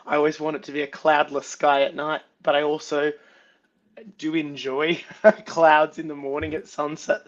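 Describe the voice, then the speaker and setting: A man's voice: fairly high pitch, moderate pace, lo-fi sound. A man with an Australian accent, a guest photographer chatting casually on a podcast, a bit unwell, with an easygoing, filler-heavy manner. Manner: relaxed, filler-heavy, laughs often. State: unwell, slightly under the weather.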